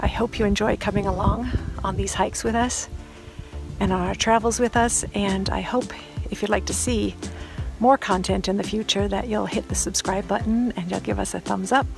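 Gravelly older woman on a hilltop. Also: mid-range voice, fairly even intonation, moderate pace, over music. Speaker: hiking vlogger. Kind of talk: casual talk to camera. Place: outdoors on a desert hilltop. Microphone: phone held at arm's length.

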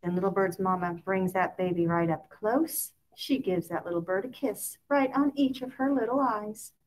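A woman reads a children's story aloud expressively, in a sing-song way with drawn-out key words. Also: mid-range voice, poor audio. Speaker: picture book reader.

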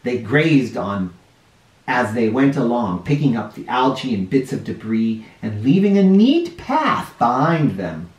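A man in his forties reading a picture book aloud to children in an expressive, explanatory way. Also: mid-range voice, a little slow. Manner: animated, explanatory, addressing young listeners. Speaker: children's storytime reader. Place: living room or playroom indoors. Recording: roomy home sound, distant mic.

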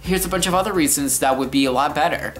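A man's voice: on the high side, quick pace. A young man in his teens or just out of them telling a casual story in an exaggerated, sarcastic way, like a rant to camera. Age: teenager to young adult. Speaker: vlogger telling a personal story. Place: living room, indoors.

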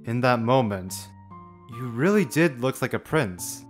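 Man playing a cool, slow-talking teenage boy. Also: medium pitch, lively intonation, faint music behind, lightly acted. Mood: casual. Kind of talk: manga fandub acting.

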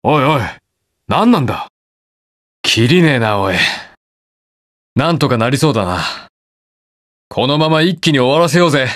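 An actor playing a stubborn, protective young masked hero in a video game, speaking curtly and brusquely. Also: male voice, medium pitch, expressive, moderate pace, pauses often, a bit bright, no room sound, studio quality, lightly acted. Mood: brash, irritated. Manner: curt, brusque, rough casual speech. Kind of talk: video game voice lines, battle quips.

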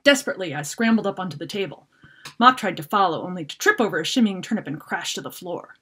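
A middle-aged woman with a slightly low, husky voice reads a fantasy story for children. Her delivery is lively and dramatic, swinging widely in pitch as the narration moves along. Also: fast talker. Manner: animated, dramatic reader. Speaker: author reading from her children's fantasy book. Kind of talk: expressive storybook read-aloud. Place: book-lined room at home.

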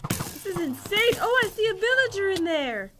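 A young adult woman co-hosting a gaming video with casual, playful chat in a giggly, excitable way. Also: very high-pitched, moderate pace, very noisy.

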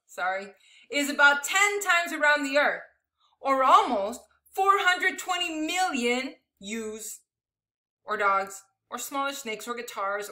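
High, bright-voiced woman reading to children. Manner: expressive, addressing young listeners directly. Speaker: elementary school teacher reading a picture book aloud. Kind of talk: children's storybook read-aloud with chatty asides. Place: living room at home, indoors. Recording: echoey home room.

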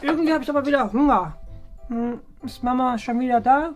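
A man's voice: very high voice, fairly quick. A young man playing a naive, eager little boy in a kids' comedy skit, his voice shifted up and childlike. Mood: whiny. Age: young adult. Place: furnished room indoors. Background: background music.

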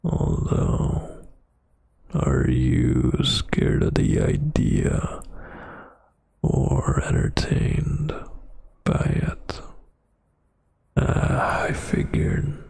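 A man murmurs very slowly in an intimate ASMR roleplay as a dominant, teasing roommate. His voice is breathy and half-whispered, thick with gravelly fry. The sound is close and dry. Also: rumbling low, big pitch swings, a little mellow, no room sound. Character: huge, dominant, flirtatious male roommate. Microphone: close microphone.

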